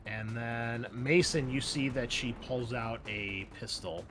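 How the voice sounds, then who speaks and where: A man acts as game master for a tabletop roleplaying session over a bed of music. His voice sits at a medium pitch, and he moves between dramatic scene narration and casual rules talk. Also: takes it slowly. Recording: music behind the voice.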